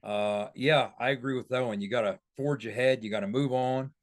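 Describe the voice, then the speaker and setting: A man's voice: medium pitch, steady tone, brisk. A man giving conversational football analysis on a podcast, making his points emphatically.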